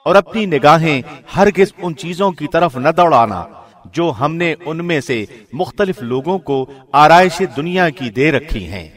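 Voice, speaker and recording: A man reading a scripture translation from a script in a measured, formal announcer's delivery. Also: a little high, lively intonation. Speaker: translation reader.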